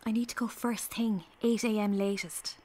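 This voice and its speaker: A woman's voice: medium pitch, steady tone, normal speed, warm tone, a little hiss or hum, natural voice. An actress playing a restless would-be writer in her twenties with an Irish accent, confiding drily in a radio drama. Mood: pleading, evasive. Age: 20s. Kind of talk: radio drama, first-person confiding narration and dialogue.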